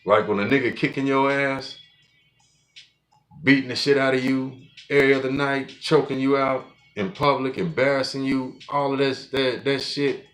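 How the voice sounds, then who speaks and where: A man's voice: medium pitch, steady tone, moderate pace, fairly bright tone, soft background music. A man in his forties talking alone on his podcast in a blunt, profane, rambling way, often restarting his sentences. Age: 40s. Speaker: podcast host. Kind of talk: casual monologue.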